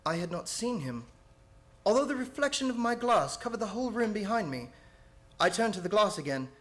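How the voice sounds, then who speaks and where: A man acting an anxious gentleman held prisoner, speaking in earnest, formal, dramatic fashion in an old-time radio horror play. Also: sits high, very animated, a little fast. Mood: uneasy, grave. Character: anxious English gentleman, prisoner narrating his diary.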